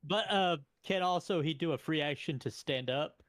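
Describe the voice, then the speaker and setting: A man's voice: on the high side, normal speed, a bit bright, no echo. A man chatting casually as a player in a tabletop roleplaying game.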